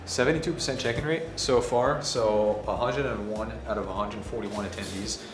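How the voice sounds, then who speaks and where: Hoarse man vlogging in an echoey room. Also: on the low side, normal speed, over music. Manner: casual, swears, talks softly to spare his voice. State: hoarse, recovering from voice loss. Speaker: ads agency owner hosting a workshop. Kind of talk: casual vlog talk to camera.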